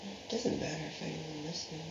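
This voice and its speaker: A woman speaking slowly and dreamily under hypnosis, played back from a recorded clip. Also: low-pitched. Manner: slow, flat and dreamy. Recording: noisy, echoey, dull archive clip.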